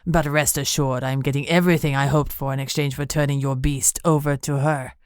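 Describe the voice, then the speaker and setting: A woman voicing a cruel male werewolf captor who talks in a low, purring, menacing way. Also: fairly even intonation, fairly quick, smooth, no room sound, clean studio sound.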